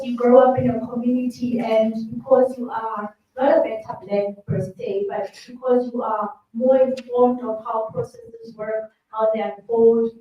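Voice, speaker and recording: Soft-voiced woman, far from the mic. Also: fairly high pitch, highs cut off. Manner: measured, hesitant with frequent ums. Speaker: panelist. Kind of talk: panel discussion, summarizing a book. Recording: echoey room, distant mic, faint hum.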